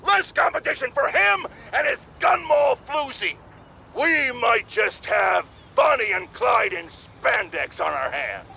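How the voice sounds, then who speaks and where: Blustering, high-pitched radio pundit. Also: male voice, expressive, unhurried, vocal fry, pushed and bright, a little hiss or hum, heavily acted. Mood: scornful, indignant. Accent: American. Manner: blustering, accusatory. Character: ranting indignant older male radio pundit. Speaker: radio host. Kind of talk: opinionated broadcast rant.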